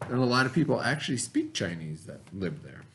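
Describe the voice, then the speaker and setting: A man teaching through a read-aloud, pausing to explain things and put questions to his listeners. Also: on the low side, sing-song, gravelly, fairly bright tone.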